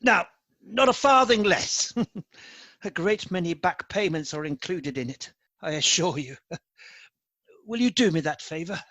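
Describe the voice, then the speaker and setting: A man playing a reformed elderly miser in a radio play, an effusive and excitable old gentleman with a husky voice. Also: sits high, measured pace, long pauses, dry recording, exaggerated voice. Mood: giddy gratitude. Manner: effusive, chuckling, stammering with excitement.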